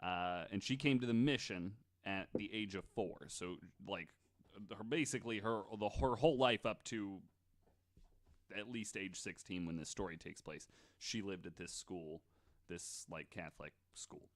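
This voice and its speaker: A man's voice: low voice, moderate pace, dry recording. A man hosting a podcast, casually retelling stories with wry joking asides. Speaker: podcast host.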